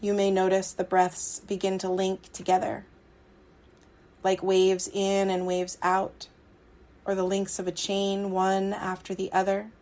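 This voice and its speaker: Woman doing a yoga nidra style guided meditation, soothing, pretty flat tone, short phrases w long gaps. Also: medium pitch, a little slow.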